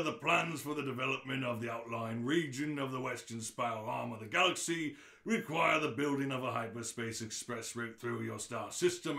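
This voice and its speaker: Man playing a forceful, officious alien bureaucrat. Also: medium pitch, vocal fry. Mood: pompous, official. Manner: pompous, bureaucratic, exasperated. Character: officious, callous alien bureaucrat announcing Earth's demolition. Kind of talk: radio play read-through. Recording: roomy, echoing room sound.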